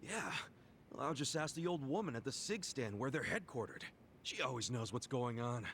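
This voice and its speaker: A man's voice: medium pitch, lively intonation, normal speed, a bit bright, a little hiss or hum. A man voicing a brash, earnest ex-convict yakuza in an English video game dub, speaking bluntly and informally. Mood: eager.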